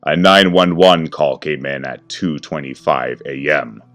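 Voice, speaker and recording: A man's voice: rumbling low, measured pace, fairly bright tone. A man narrating a horror story in a grave, dramatic manner, with faint music behind him.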